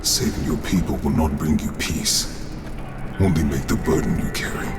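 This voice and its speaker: Extremely deep, echoing old exiled warrior, weary and sorrowful, dramatic video game role over loud music. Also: male voice, steady tone, moderate pace. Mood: sorrowful, resigned. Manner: weary, grave, portentous. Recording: echoing hall reverb, loud music behind. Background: loud game score.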